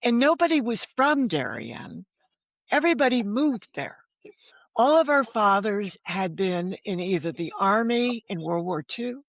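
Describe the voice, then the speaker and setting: A woman's voice: medium pitch, dry recording. An older American woman from Connecticut, around seventy, talking candidly as an interview guest in an emphatic, anecdotal way with rhetorical tag questions. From Connecticut, United States. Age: older, about 70. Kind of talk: candid conversational storytelling.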